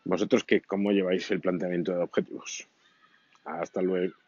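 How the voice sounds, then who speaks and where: Male podcast host, deep voice, unhurried rambling delivery, dull lo-fi audio. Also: level tone, a little mellow, no echo. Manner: rambling, many fillers and restarts. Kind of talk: casual spoken reflection. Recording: dull lo-fi sound.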